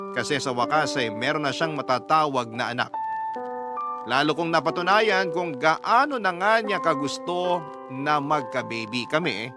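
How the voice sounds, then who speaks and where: Man as higher-pitched, wistful confessional narrator. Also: lightly acted. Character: sentimental letter writer recounting married family life. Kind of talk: dramatized first-person confessional narration. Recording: clear music bed behind narration.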